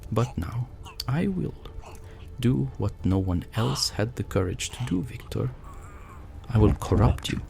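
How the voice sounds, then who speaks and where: Guy as old vampire dude, creaky gravelly voice, bitter n threatening, audiobook drama. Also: mid-range voice, big pitch swings, a little slow, dark tone, voice pushed up, heavily acted. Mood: vengeful menace.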